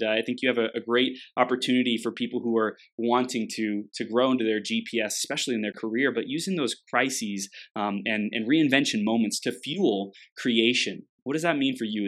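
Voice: mid-range voice, moderate pace, hardly any pauses, fairly bright tone. A host of a live interview show, enthusiastic and affirming, who introduces the guest and asks open questions. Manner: enthusiastic, affirming, many fillers.

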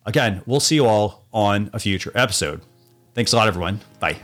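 A man's voice: on the low side, lively intonation, brisk, a bit bright, faint music behind. A man hosting a podcast, giving advice in a casual, filler-heavy way. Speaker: podcast host.

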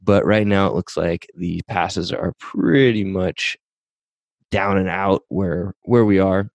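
A man in his thirties from the Pacific Northwest, hosting his own fitness podcast in an upbeat, energetic way while hoarse and losing his voice. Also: deep voice, slow pace, no room sound. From Pacific Northwest, USA. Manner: upbeat, energetic, pep-talk delivery. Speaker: podcast host and fitness coach. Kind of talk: casual solo podcast talk with sponsor reads.

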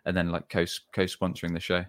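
A man hosting an interview, giving short affirmations and asking quick follow-up questions. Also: deep voice, completely flat delivery. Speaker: podcast host.

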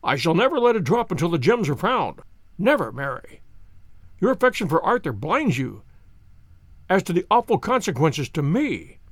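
A man's voice: high-pitched, sing-song, moderate pace, no room sound, heavily acted. A man voicing a stern, impatient older banker in an audiobook, formal and emphatic in his indignation. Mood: stern, indignant.

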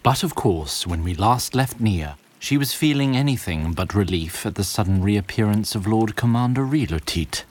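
Low-voiced male storyteller. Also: expressive, normal speed, hardly any pauses. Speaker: narrator. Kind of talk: scripted audio drama narration.

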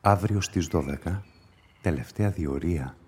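An actor playing a sceptical police inspector in a radio play, musing and questioning in a dryly ironic way. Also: male voice, deep voice, darkish tone, breathy edge. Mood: suspicious. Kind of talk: radio play acting and monologue.

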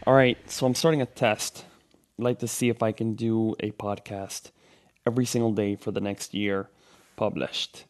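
A man's voice: on the low side, flat delivery, normal speed. A man in his thirties from Venezuela, hosting a solo podcast and giving earnest advice in a rambling way, full of ums and restarts. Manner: rambling, filler-heavy, earnest coaching. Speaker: podcast host. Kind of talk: solo motivational podcast talk.

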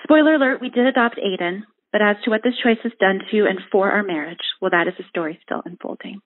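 A woman's voice: medium pitch, quick pace, no room sound. Earnest mother, tinny phone-like sound. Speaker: mother telling an adoption story. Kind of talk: read personal essay.

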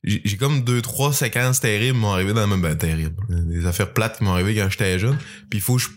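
A man's voice: on the low side, quick pace, slight background noise. A man from Jonquière with a Quebec French accent, a stand-up comedian telling rambling stories and often amused by himself in casual chat. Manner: rambling, self-amused storytelling.

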